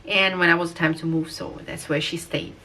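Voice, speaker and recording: A woman's voice: fairly low pitch, flat delivery, moderate pace, pushed and bright, far from the mic, a little hiss or hum, rough recording. A woman in her thirties from Russia with a Russian accent, talking through a personal vlog in a hesitant way, with many fillers and restarts. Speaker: vlogger.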